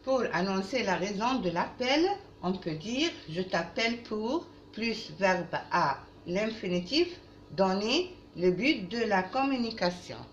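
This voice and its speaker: Woman teacher, slow and animated, roomy recording. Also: mid-range voice. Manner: didactic, repeats phrases, switches into halting English. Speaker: French teacher. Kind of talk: language lesson for children, with repetition. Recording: roomy, muffled lo-fi, faint background noise.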